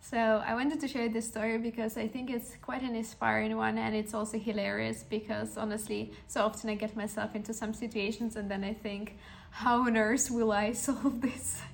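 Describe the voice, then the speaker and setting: Young woman podcaster, kinda even tone with lots of fry, earnest pep-talk storytelling. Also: on the high side, normal speed, a little hiss or hum. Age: young adult. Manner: earnest, reflective, motivational. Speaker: podcast host. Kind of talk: personal storytelling monologue.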